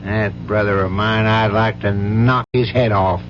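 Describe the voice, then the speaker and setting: A man's voice: on the low side, steady tone, a little slow, close to an everyday voice. An actor playing a middle-aged, folksy, hearty uncle who looks after his brother's family in old-time radio drama. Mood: grim, troubled. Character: genial bank-teller uncle, protective of his brother's family. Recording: dull, noisy old radio recording.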